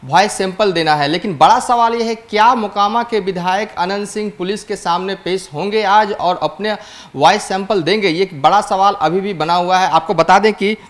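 A young man reporting the news to camera in a studio, holding a handheld mic, with a brisk, urgent newsreader delivery and occasional restarts. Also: high-pitched.

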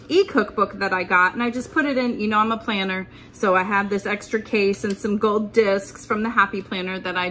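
Bright-voiced woman chatting through food prep. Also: medium pitch, slight background noise. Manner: informal, chatty, thinking aloud as she works. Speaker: host of a keto cooking channel. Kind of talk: casual chatty meal-prep demonstration. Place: indoors at home, kitchen work surface.

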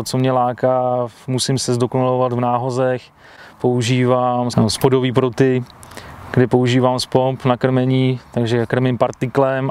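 A man's voice: medium pitch, a little mellow, clear, resonant tone. A man in his thirties, an angler being interviewed outdoors by a lake, answering in a plain, matter-of-fact way into a clip-on mic. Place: outdoors by a lake, seated at a fishing bivvy.

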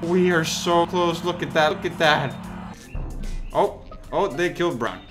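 A man hosting a casual gaming session, playful and teasing, laughing often and chatting with friends over a headset mic. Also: a little slow. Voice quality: deep-sounding mic voice. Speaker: gamer host. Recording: game music behind.

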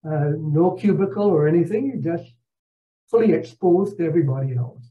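An older man from Barbados giving a narrated travel slideshow over a video call, speaking in a measured, halting, explanatory way. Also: fairly high pitch. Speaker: presenter, retired professor. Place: indoor room at home, on a video call. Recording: dull video call audio.

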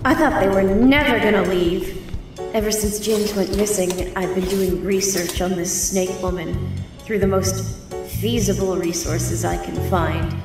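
A woman's voice: mid-range voice, steady tone, unhurried, over music, lightly acted. A young woman acting a sarcastic, determined teenage girl in an audio drama, both in dialogue and narration. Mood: tense, determined. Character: sarcastic, determined teenage girl hunting for her missing friend.

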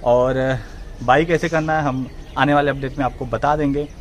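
A man's voice: medium pitch, fairly even intonation, moderate pace. A man, talking casually and emphatically to camera as a gaming vlogger outdoors. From India. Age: 20s. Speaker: gaming channel host. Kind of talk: casual vlog talk to camera. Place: outdoor open yard with trees. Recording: faint outdoor background noise.

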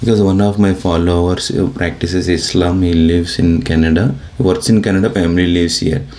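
A man's voice: low voice, fairly even intonation, normal speed, mellow. A middle-aged man from India with an Indian accent, talking to camera in a small room in an earnest, rambling way. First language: Telugu. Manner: rambling, earnest, gestures with hands. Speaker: YouTuber. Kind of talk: casual talk to camera. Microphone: device camera close up at low angle.

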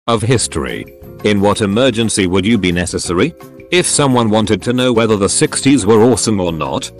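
Animated low-voiced man narrating, music behind. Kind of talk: scripted narration reading online forum comments.

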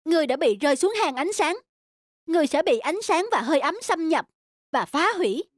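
A woman's voice: sits high, animated, brisk. A woman voicing a kind, principled female angel of light in a cartoon, speaking in an earnest, formal way. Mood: stern. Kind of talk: dubbed cartoon acting.

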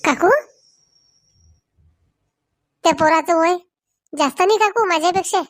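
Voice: very high voice, fast, dry recording, clean studio sound. A performer voicing an outspoken young village woman in a comedy cartoon, blunt and chatty. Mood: reluctant. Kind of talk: Marathi comedy cartoon dialogue.

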